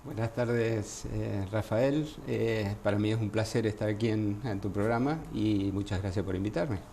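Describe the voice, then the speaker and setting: Argentine doctor guest, low mellow gravelly voice, unhurried, faint noise behind. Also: male voice. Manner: measured, explains with many fillers. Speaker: gynecologist guest. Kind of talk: radio interview by phone. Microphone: phone line.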